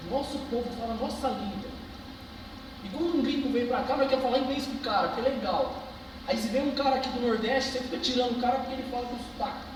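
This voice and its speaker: A young man with a São Paulo interior accent speaks with fervent, emphatic conviction, dropping street slang as he addresses a small crowd. His voice sits high, and it comes from far off in a big echoing hall with a long reverb tail. Steady noise hums under the whole recording. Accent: São Paulo interior Brazilian Portuguese. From Brazil. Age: 20s. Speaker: speaker giving a talk. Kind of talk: passionate live talk to a small audience. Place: cultural center hall.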